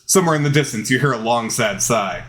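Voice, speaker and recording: A man's voice: medium pitch, animated, fast talker, a bit bright. A man narrates a dating simulator playthrough, reading the on-screen story aloud. His delivery is theatrical and dramatized, and music plays underneath.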